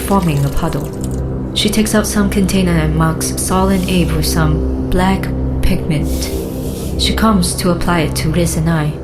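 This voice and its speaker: Low-voiced woman narrating over music as drama heroine. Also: close to an everyday voice. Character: watchful young woman Fei recounting her mission. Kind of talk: scripted first-person audio drama narration. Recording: voice over loud music bed.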